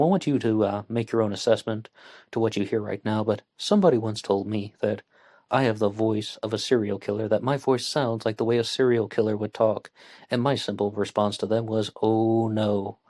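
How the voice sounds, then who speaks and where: A man hosting a true crime podcast, talking through a case in an earnest, measured way with frequent pauses and fillers. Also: fairly low pitch, darkish tone. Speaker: podcast host.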